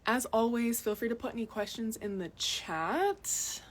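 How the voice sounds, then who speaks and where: A woman's voice: a little high, big pitch swings, a little slow. A young adult woman from Massachusetts, chatting casually to viewers in a live video recorded outdoors on a phone, often repeating words for emphasis. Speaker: health vlogger. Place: outdoors in cold mountains.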